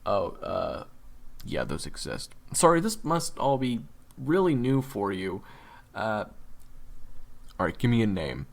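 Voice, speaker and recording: Audio drama casting: man playing sarcastic young monster hunter, brash and slangy, creaky edge, lively swings in pitch. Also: a little slow, pauses often, full character voice. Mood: impatient, sarcastic. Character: brash, crude, cocky young male monster-hunting sword saint. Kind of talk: audio roleplay acting.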